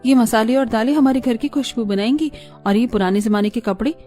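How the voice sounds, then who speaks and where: A caring young daughter-in-law in a cartoon, soft-spoken and earnest, with a fairly high voice, as a woman acts her out. Faint music sits behind her. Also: brisk, lightly acted. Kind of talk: cartoon voice acting.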